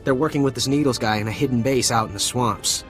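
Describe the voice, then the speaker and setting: A man's voice: medium pitch, quick pace, fairly bright tone, lightly acted. An actor playing a cocky young male ensign who jokes sarcastically and stammers when scolded, in a game cutscene. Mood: wry. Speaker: rescued junior officer. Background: faint music.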